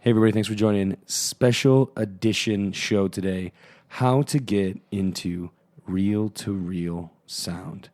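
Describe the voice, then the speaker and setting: A man with a fairly low voice hosts a podcast. He talks casually and informally, with a joking streak, as he chats and puts questions to guests. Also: unhurried, darkish tone, faint music behind. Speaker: podcast host. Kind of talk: casual chat, interviewing.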